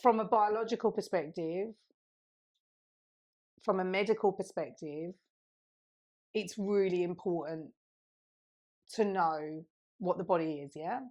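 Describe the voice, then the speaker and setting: Woman on a podcast, lowish voice, rambles thru a story, laughs a lot. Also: measured pace, long pauses, darkish tone. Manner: chatty, rambling, laughs often. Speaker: podcast co-host. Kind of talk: casual chat, storytelling.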